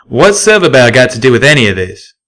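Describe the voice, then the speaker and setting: A man's voice: mid-range voice, very animated, a little fast, a bit bright, voice pushed up. Man doing a drawling country stallion. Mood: blunt. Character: gruff country stallion pegasus, blunt and steady.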